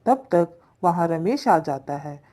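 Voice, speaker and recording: Low-pitched woman narrating a fable. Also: expressive, takes it slowly, warm tone. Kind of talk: scripted storytelling narration for a cartoon fable.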